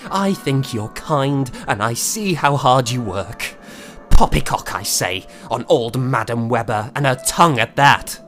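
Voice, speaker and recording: A man playing an unwell, proud young composer in a period audio drama, shaken and speaking in formal, halting, old-fashioned phrases. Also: medium pitch, lively intonation, fairly bright tone, soft background music. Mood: indignant. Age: young adult. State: weak, shaken, coughing. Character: unwell, wounded, proud young male composer, courteous and agitated.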